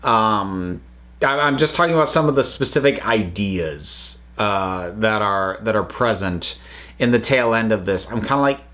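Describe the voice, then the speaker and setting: A man's voice: on the low side, lively intonation, a little slow, fairly bright tone. Man rambling through a casual review. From United States. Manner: rambling, hesitant, many fillers and restarts. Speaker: review channel host.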